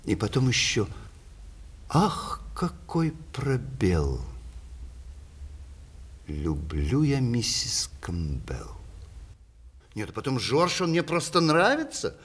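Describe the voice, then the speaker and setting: An actor playing a witty, vain aging playwright in a 1960s Russian radio play, ironic and deliberate, with mock-tragic pauses. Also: male voice, medium pitch, huge pitch swings, fairly bright tone, breathy edge, exaggerated voice. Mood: mock-jealous, wounded. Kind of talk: 1960s Russian stage radio play, letters performed aloud.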